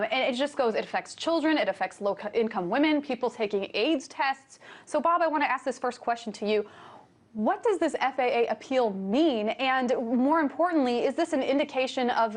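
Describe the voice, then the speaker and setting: A woman anchoring a television news discussion, putting questions to guests in a conversational broadcast style. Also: fairly high pitch.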